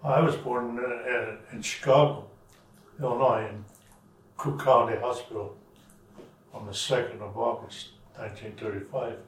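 A man in his eighties who grew up in Germany, slowly and haltingly recounting his early life in an oral history interview at home. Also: medium pitch, steady tone. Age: 80s. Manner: halting, searching for words. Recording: roomy, from far off.

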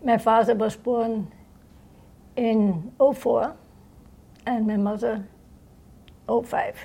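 An elderly woman from Austria recalling her life at home in an unscripted way, halting as she searches for words. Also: a little high, fairly even intonation, vocal fry, warm tone, husky. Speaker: interviewee recounting life history. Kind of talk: unscripted reminiscence. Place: indoors in own home.

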